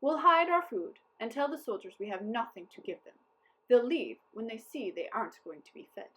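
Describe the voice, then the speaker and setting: A woman's voice: a little high, animated, normal speed, sharp tone, rough recording. A woman voicing a wary, sly male town mayor in a storybook, with emphatic, formal delivery. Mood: scheming.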